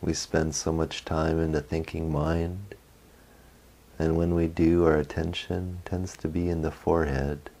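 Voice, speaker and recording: Very deep, slow man guiding meditation. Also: level tone. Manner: gentle instruction in short phrases with long pauses. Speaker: meditation guide. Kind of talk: guided meditation.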